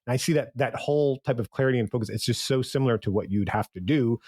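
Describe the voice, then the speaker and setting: A man's voice: medium pitch, quick pace, darkish tone, no echo, clean studio sound. A man hosting an interview, asking curious follow-up questions. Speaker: podcast interviewer.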